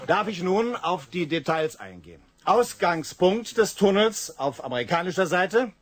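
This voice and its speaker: An actor in a 1980s German radio drama playing an earnest, determined young engineer, measured and declamatory yet matter-of-fact. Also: male voice, sits high, sing-song. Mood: earnest, resolute.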